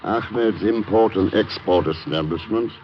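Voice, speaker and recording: Low-voiced radio spy played by an actor. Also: male voice, measured pace, lightly acted. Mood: cool, brisk. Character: cool, quick-witted American male intelligence agent. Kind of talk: 1950s radio drama acting. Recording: muffled, hissy vintage radio broadcast.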